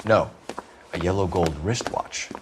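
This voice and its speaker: A man's voice: sits low, a little slow, background noise. An actor playing an earnest, driven American police detective in his thirties, serious and articulate, in a crime drama game. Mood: businesslike. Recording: music behind the voice.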